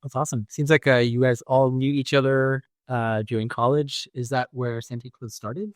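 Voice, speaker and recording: A man's voice: mid-range voice, fairly even intonation, normal speed, dry recording, clean studio sound. A man co-hosting a podcast, asking questions in a casual interviewing style. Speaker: podcast co-host.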